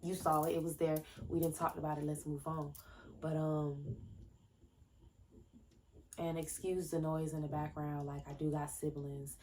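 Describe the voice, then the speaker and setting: A woman's voice: deep voice, little pitch movement, unhurried, pauses often, darkish tone, a little hiss or hum. A woman in her early twenties hosting a casual solo podcast from home. She talks loosely and wanders between tangents, with lots of fillers and restarts. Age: 20s. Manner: rambling, jumps between tangents. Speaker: podcast host. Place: at home, indoors. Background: faint fireworks popping.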